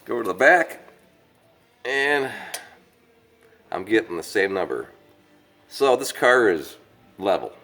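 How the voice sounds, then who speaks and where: A man's voice: lowish voice, very animated, vocal fry, a bit bright. A man working as a mechanic, explaining a repair step by step while congested from a slight cold. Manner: plain, unhurried how-to explaining. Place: auto repair shop.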